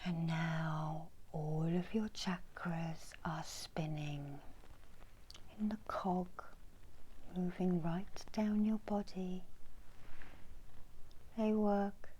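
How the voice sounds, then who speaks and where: A middle-aged woman whispering a guided ASMR meditation in a breathy, hushed, measured voice with long pauses, as a reiki healer. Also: fairly low pitch, fairly even intonation, low-quality recording. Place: small indoor room. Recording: clear background noise and ambience.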